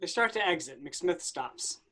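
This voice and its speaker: Higher-voiced man reading stage directions. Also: expressive, measured pace, sharp tone. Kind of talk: scripted narration at a staged play reading.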